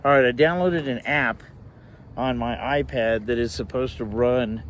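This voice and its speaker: Male hobbyist, casual gadget demo, thinks aloud haltingly, noticeable ambient noise behind the voice. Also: medium pitch. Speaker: hobbyist reviewer demonstrating a shortwave radio. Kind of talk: casual hands-on demonstration.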